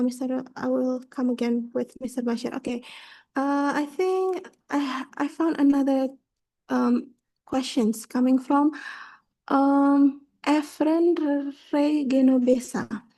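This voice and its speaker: Brief: high-pitched woman moderator on a video call, warm soft tone, halting and filler-heavy. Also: fairly even intonation. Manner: hesitant, many fillers. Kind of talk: online forum moderating.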